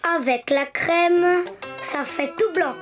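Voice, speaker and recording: A woman's voice: very high voice, slow. A woman voicing a playful, imaginative little boy donkey in a preschool cartoon, with a chirpy, childlike manner. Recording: music bed behind the voice.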